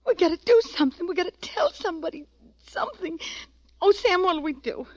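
A woman's voice: very high voice, lively intonation, brisk, dry recording. An actress playing an anxious, gullible young wife with an American accent, fretful and girlish, in 1940s radio style. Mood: frightened, pleading. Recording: dull, band-limited vintage radio recording.

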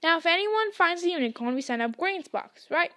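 A woman voicing a huge, gruff, kindly male gamekeeper who talks bluntly. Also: extremely high pitch, lively intonation, a little fast, bright, forceful tone, pitched above the performer's own voice, heavily acted.

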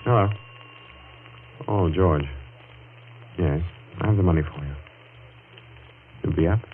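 An actor playing a self-assured matinee idol in a breezy, offhand manner, in 1940s radio drama style. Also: male voice, low-pitched, slow. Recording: tinny, slightly noisy old radio recording.

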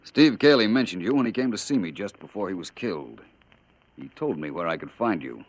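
An actor playing a shrewd, polite, self-assured private detective in old-time radio drama. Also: male voice, deep voice, sing-song, brisk. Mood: confident. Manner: probing, polite, incisive. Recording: dull vintage radio recording.

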